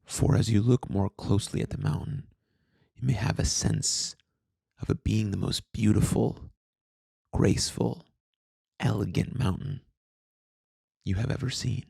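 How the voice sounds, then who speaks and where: A man with a low-pitched voice narrates a guided meditation in a soothing manner. His delivery is very slow, with long pauses between phrases. The sound is close and dry, keeping the calm instruction intimate and unhurried. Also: vocal fry, husky, dry recording. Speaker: meditation guide, podcast host.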